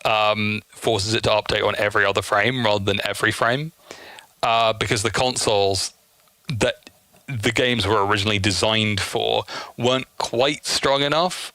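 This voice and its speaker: A man's voice: on the low side, bright, forceful tone, no room sound. A man giving casual, chatty gameplay commentary, explaining things as he goes in an enthusiast's asides. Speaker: gameplay streamer.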